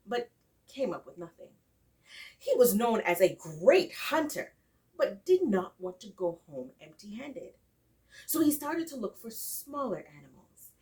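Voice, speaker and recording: A woman telling a folktale in an expressive, dramatic style with deliberate phrasing and emphatic repetition. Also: mid-range voice. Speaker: storyteller. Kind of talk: expressive oral folktale storytelling. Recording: distant microphone.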